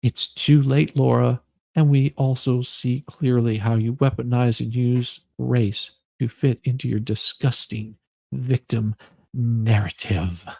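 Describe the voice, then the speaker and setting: A man hosting a livestream, moving between casual chat and mock-dramatic readings with theatrical, drawn-out emphasis. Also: medium pitch, fairly even intonation, creaky, darkish tone, dry recording. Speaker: livestream host.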